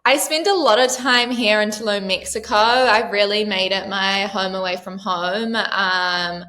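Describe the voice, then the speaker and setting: A woman's voice: mid-range voice, unhurried, bright, forceful tone. A woman in her thirties from New Zealand, chatting casually as an interview guest about her yacht crew work, with lots of "um" and "like". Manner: chatty, frequent "um" and "like".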